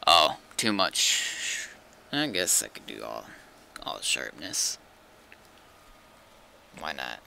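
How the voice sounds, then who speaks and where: Deep creaky guy muttering through a game stream, faint noise behind. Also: big pitch swings, very slow, pauses often, pushed and bright. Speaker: streamer playing a zombie survival game. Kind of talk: casual gaming chat.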